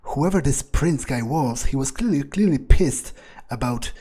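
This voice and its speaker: A man's voice: mid-range voice, expressive, measured pace, warm tone, breathy edge. A man improvising in-character commentary over a video game, thinking aloud in rambling, crude asides. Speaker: gaming video creator playing in character.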